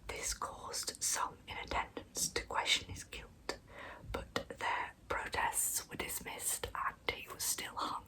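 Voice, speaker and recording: A woman narrating true crime as ASMR in a breathy, hushed whisper, telling the story in measured phrases. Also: rough recording. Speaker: ASMR storyteller, channel host.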